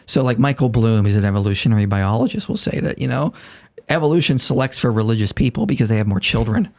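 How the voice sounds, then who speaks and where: Man in his thirties, podcast guest, earnest and argumentative, mid-pitched voice. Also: quick pace, darkish tone. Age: 30s. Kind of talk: casual conversation.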